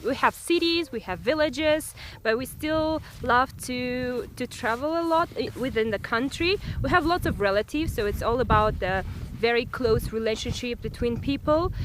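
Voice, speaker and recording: A woman's voice: fairly high pitch, lively intonation, moderate pace, a bit bright, slight background noise. A woman in her late twenties from East Kazakhstan with a Kazakh accent, a friendly tour guide who laughs easily. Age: late 20s.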